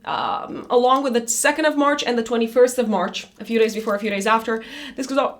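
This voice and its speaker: Woman doing horoscopes, raspy from being sick, kinda high voice, lots of ums. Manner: chatty, loose, with many fillers and restarts. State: raspy voice after illness. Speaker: astrologer. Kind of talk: monthly horoscope reading.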